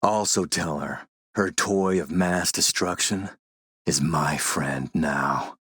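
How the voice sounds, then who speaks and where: A man playing a suave video game warlord, coolly polite and mocking, with a measured, sardonic menace. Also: deep voice, a bit bright, slightly rough, no room sound, clean studio sound, exaggerated voice. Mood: quiet threat. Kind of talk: video game villain acting.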